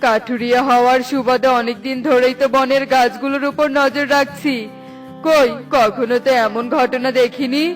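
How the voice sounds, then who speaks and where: A woman voicing a good-hearted, excitable male pigeon woodcutter in a cartoon, earnest and full of exclamations. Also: high-pitched, moderate pace, soft background music, close to an everyday voice. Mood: astonished. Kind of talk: cartoon voice acting.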